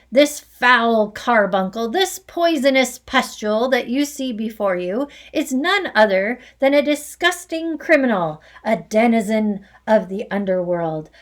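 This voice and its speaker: A woman voicing a cruel, domineering headmistress who barks and booms insults. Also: expressive, slow pace. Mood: shouting furious. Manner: barking, booming insults. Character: bullying hulking headmistress, loud and cruel.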